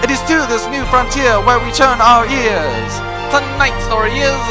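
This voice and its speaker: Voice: mid-range voice, expressive, moderate pace. An announcer giving a grandiose, old-time radio serial introduction. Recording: loud music underneath.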